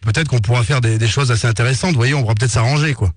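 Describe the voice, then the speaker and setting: A man's voice: mid-range voice, racing, dry recording, close to an everyday voice. A man playing a polite, insistent marketing assistant for a betting company on a prank phone call, coaxing with hesitant sales talk. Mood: negotiating, coaxing. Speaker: prank caller.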